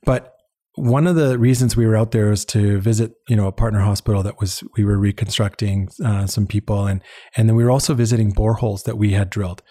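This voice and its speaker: A man speaks in a low register with a soft, relaxed tone. He is an earnest interview guest who talks conversationally and reflectively, sometimes repeating a word to stress it. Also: quick pace. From born in Uganda, later lived in Canada. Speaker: interview guest, head of an international eye-care charity. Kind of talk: conversational personal storytelling.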